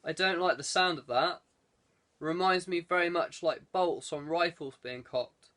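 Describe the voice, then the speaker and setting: A man's voice: on the high side, unhurried, pushed and bright. A man voicing a decisive, practical male adventurer leading a treasure hunt in an audiobook. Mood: uneasy.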